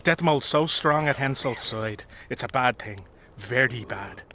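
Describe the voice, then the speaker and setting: Casting: combative drinking soldier, fantasy game, sharp forceful voice, coarse common speech. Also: male voice, mid-range voice, a little slow, slight background noise. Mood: ominous. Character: blunt, boozy, grumbling common soldier in a fantasy army. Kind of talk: video game dialogue acting.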